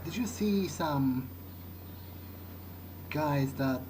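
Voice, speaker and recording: A man roleplaying in character through a video game, thinking aloud in a halting, hesitant monologue. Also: on the high side, lively intonation, noisy background.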